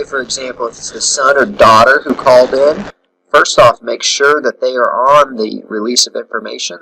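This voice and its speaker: A man with a bright, forward voice walks through an on-screen procedure in a casual teaching style. He talks through each step as he goes, thinking aloud. There is faint noise behind him. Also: mid-range voice, creaky, poor audio. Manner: instructional, thinking aloud while demonstrating. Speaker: instructor, software trainer.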